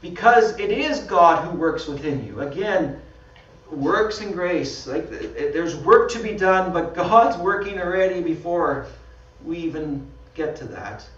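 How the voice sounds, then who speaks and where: Canadian pastor preaching in a roomy hall. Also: male voice, high-pitched, expressive, a little slow, fairly bright tone. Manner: conversational, self-deprecating, laughs often. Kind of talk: sermon with slides. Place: small church hall. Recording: dull, roomy sound from far off.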